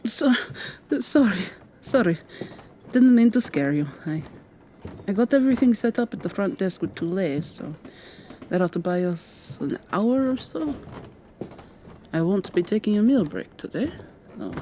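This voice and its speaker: A woman performs a gentle, anxious medic in a vampire roleplay. She sounds earnest and hesitant, with a mellow tone and a faint hiss of noise behind her. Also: mid-range voice, animated, a little slow, pauses often, close to an everyday voice. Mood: nervous, apologetic. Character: anxious, gentle, caring medic helping a captive escape. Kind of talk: ASMR roleplay.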